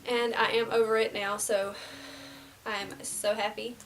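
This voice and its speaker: A young woman in her twenties, slightly nasal from a cold, chatting casually through a get-ready-with-me beauty vlog and dropping to soft half-whispers as she works. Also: fairly high pitch, level tone, measured pace, far from the mic, rough recording. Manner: casual and rambling, drops to near-whispers while applying makeup. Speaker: beauty vlogger. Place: small indoor room before a plain backdrop.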